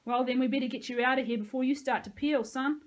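A woman's voice: steady tone, quick pace, lightly acted. A woman voicing a paranoid, aggressive cadet leader in his twenties, a mockingly cheerful bully, in an audiobook. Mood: commanding, jovial. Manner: booming, taunting, bossy. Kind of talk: audiobook character dialogue.

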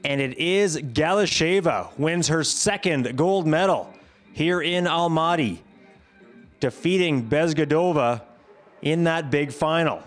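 A man giving scripted highlights commentary on a sports competition as the commentator. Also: slow, faint music behind.

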